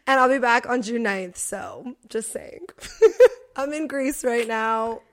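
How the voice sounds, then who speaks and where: Podcast co-host, woman, late 20s, bright higher pitch, giggly and chatty. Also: slow. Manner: giggly, chatty, laughs often. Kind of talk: casual chat.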